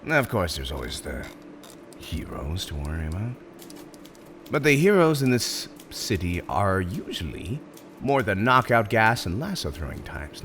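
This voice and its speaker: Guy doing a smug polite supervillain for asmr rp, theatrical, very animated delivery, some noise behind him. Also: on the low side. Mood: reassuring, explanatory. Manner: theatrical, courteous, self-satisfied, emphatic. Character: urbane, smug male supervillain interviewing a prospective minion. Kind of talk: ASMR roleplay monologue.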